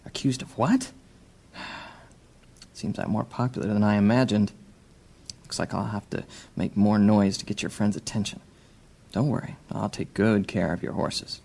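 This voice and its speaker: Man playing a sly, gravelly fantasy rogue. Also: fairly low pitch, darkish tone, exaggerated voice. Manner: sardonic, muttering to himself. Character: sly, cocky male rogue and thief. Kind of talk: fantasy audio drama acting.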